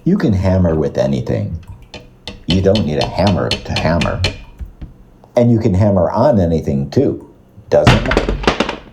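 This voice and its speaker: A man playing a good-natured, simple plumber in an audio drama, plainspoken, musing and earnest, with a husky voice. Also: sits low, animated, measured pace, pauses often, noisy background.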